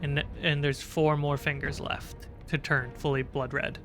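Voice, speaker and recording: A man's voice: a little high, flat delivery, unhurried, fairly bright tone, soft background music. A man acting as game master, explaining rules, prompting the player and giving short confirmations. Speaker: game master, host of the livestream. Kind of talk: tabletop game refereeing and narration.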